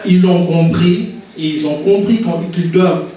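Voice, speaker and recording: A man's voice: high-pitched, unhurried, mellow, a little hiss or hum. Earnest man speaking in an echoey hall. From Congo. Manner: earnest, repeats and restarts words. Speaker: invited speaker, author. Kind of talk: impassioned public talk. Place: conference hall. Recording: echoey hall, distant mic.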